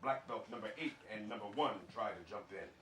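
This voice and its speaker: A man's voice: lowish voice, fairly quick, pushed and bright. A man reading scripted stage directions for fight action aloud to a room. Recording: echoey room, distant mic, clear noise.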